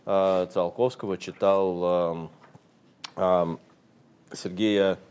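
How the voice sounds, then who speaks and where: A man's voice: low voice, level tone, extremely slow, darkish tone, clear, resonant tone. A man interpreting an interview consecutively, translating steadily with occasional hesitations.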